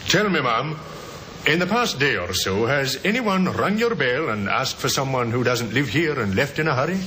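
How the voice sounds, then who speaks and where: A man's voice: medium pitch, big pitch swings, moderate pace, bright, forceful tone. An actor playing a polite, dutiful male police sergeant in an old radio drama, formal and courteous. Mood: courteous, official. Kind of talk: 1950s radio drama acting.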